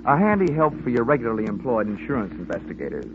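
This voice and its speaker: An actor playing a world-weary, hard-boiled insurance investigator in a 1950s radio drama, with wry, sardonic, slangy delivery. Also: male voice, mid-range voice, wildly swooping pitch, fast. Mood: wry, matter-of-fact. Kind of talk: 1950s radio drama acting with first-person narration. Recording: muffled, noisy vintage radio recording.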